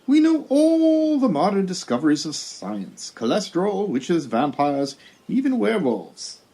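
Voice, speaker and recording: An actor playing a blunt, deadpan male Pilgrim governor in a comedy sketch. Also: high voice, unhurried, heavily acted, low-quality recording. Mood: amused, matter-of-fact. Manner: matter-of-fact, darkly deadpan.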